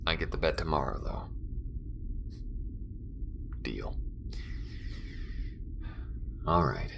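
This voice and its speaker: A man playing a wry, guarded rival smuggler in an intimate audio roleplay, with a low, gravelly, breathy voice and a hesitant, sardonic way of talking. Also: expressive, unhurried, background noise, exaggerated voice. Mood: wry, grudging.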